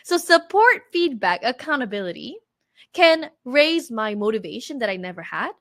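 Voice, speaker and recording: A woman in her thirties leads an online language masterclass, her voice sitting a little high and swinging widely in pitch. She talks in an animated, encouraging way and acts out her own past words. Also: normal speed. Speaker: Korean language teacher.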